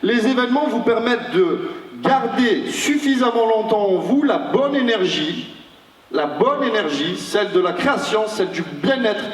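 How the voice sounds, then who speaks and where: A man with a high, bright voice delivers a rousing motivational talk and hammers his points home through repetition and rhetorical questions. He sounds distant from the microphone. His voice echoes with a long tail through a large hall. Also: animated, normal speed. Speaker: network marketing trainer. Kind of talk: motivational seminar speech. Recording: echoey hall, mic far off.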